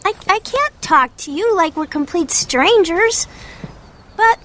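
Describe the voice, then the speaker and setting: An actress in a family radio drama playing an earnest, outspoken young woman who speaks haltingly and with open emotion. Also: very high voice, animated, fairly bright tone, a little hiss or hum, full character voice. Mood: upset.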